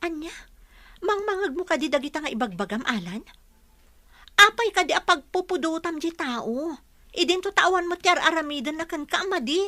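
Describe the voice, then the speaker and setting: A woman's voice: very high voice, expressive, moderate pace, pushed and bright, no room sound, heavily acted. An actress playing a hurt, principled young woman in a radio drama, with a Filipino accent. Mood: upset. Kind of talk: Ilocano radio drama acting.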